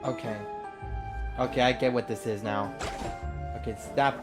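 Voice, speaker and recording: A man's voice: mid-range voice, steady tone, normal speed, loud music behind the voice. A man livestreaming a video game with casual, off-the-cuff gameplay commentary.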